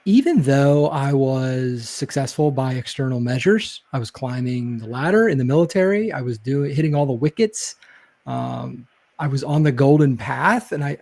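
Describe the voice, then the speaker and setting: Mellow-voiced reflective man. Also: mid-range voice, creaky. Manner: reflective storytelling, many fillers and hedges. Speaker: guest, leadership speaker. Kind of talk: casual interview chat.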